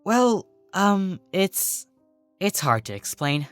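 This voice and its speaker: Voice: mid-range voice, sing-song, slow, a bit bright, clean tone, no echo, soft background music, pitched above the performer's own voice. A performer voicing a nervous, exasperated young female cartoon unicorn who is easily flustered and scolding.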